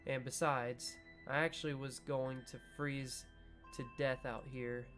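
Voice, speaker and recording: A man reading a visual novel aloud in a slow, measured way, breaking off into joking asides about his choices. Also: mid-range voice, level tone, a bit bright, faint music behind. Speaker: gaming video creator.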